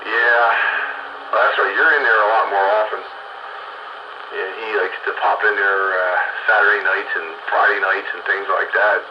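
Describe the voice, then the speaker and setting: Through heavy noise and a dull radio band comes an older man with a deep voice. He chats casually over two-way radio like a longtime hobbyist. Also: fairly even intonation, moderate pace. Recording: muffled, very noisy two-way radio transmission.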